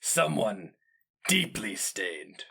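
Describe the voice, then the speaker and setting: A man performs a stern fantasy hero mage in a storybook reading. He speaks with mild, deliberate menace and open contempt. The tone is forceful and clearly edged. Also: on the low side, very animated, heavily acted. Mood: stern, suspicious.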